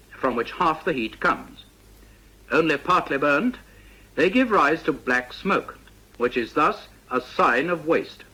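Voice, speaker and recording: A British man reading a scripted instructional narration for a vintage training film, in a precise, measured, didactic manner. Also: fairly high pitch, pushed and bright, husky, low-quality recording.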